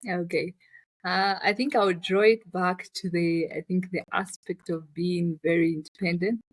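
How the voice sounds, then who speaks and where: A woman's voice: lowish voice, steady tone, normal speed, husky, no room sound. A woman with a Zambian accent telling her personal story as an interview guest, in a reflective, conversational way. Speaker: interview guest, finance business founder.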